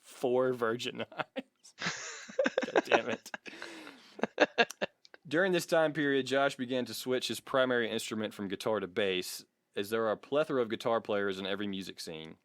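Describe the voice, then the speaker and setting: A man's voice: mid-range voice, moderate pace, a bit bright, dry recording, studio quality. An American man co-hosting a comedy podcast, reading a biography with mock grandeur, swearing and slipping into casual banter. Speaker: podcast co-host.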